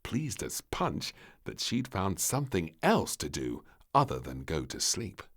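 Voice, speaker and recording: Slow, hugely expressive male storyteller. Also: deep voice, noisy background. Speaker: storybook narrator. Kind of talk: expressive scripted children's story narration.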